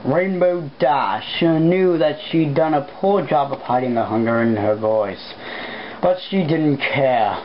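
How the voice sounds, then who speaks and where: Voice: medium pitch, slow pace, a crowd in the background. An amateur reading fan fiction aloud in a casual way, breaking off to make irreverent, sweary remarks about slip-ups. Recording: dull lo-fi home recording, background noise.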